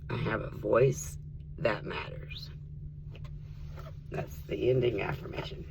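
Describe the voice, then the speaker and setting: A rough-voiced man with a low, gravelly tone, sounding worn out. He talks casually and earnestly to the camera, slipping into soft whispers. Steady noise hisses underneath the recording. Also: slow pace. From Tennessee. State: rough voice needing rest. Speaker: vlogger. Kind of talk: casual spiritual vlog and storytime.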